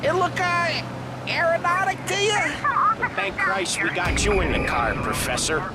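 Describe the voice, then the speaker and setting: An actor playing an excitable young mobster in a video game cutscene, with profane, rapid banter. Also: male voice, very high voice, a loud crowd, heavy background noise, exaggerated voice. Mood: wisecracking.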